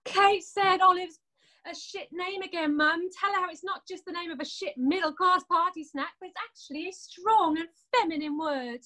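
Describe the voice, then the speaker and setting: A woman's voice: extremely high pitch, normal speed, sharp tone. A woman acting a blunt, sarcastic elder sister who holds a grudge, in a naturalistic drama. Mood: sarcastic teasing. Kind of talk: naturalistic stage drama dialogue.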